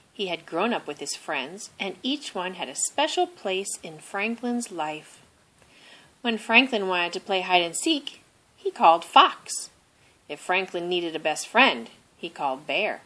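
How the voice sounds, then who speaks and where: A woman reading a children's story aloud expressively, deliberately stressing phrases. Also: mid-range voice, pushed and bright, rough recording. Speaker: storybook reader.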